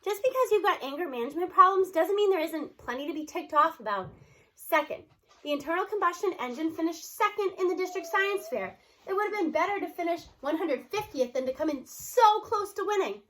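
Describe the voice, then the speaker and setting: Woman, high pitch, bright tone, expressive storybook narration, children's novel read aloud. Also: a little fast, low-quality recording. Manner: animated, acts out the characters' dialogue.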